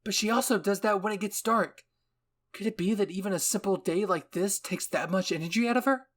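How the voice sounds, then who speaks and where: Anime scientist woman, acted by a man: raised pitch, eager, stubborn, breathlessly enthusiastic. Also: fairly quick. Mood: excited musing. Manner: breathless enthusiasm, thinking aloud. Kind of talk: anime fan-fiction character reading.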